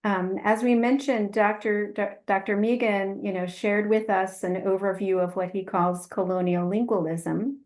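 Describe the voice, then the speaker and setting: A woman's voice: mid-range voice, fairly even intonation, normal speed, hardly any pauses, darkish tone. A woman moderating a webinar on a video call, introducing a guest and asking questions with frequent ums and restarts.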